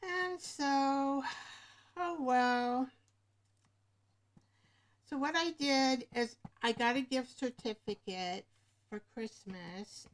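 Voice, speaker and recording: Woman, craft livestream host, unhurried, slightly high, rambling chat, webcam mic. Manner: rambling, searches for words. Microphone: webcam microphone above the screen.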